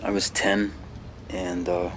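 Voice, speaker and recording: An actor playing an earnest, haunted young graduate student in a radio drama, halting and plainspoken. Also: male voice, low-pitched, flat delivery, a bit bright, a little hiss or hum. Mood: haunted, reflective. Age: young adult.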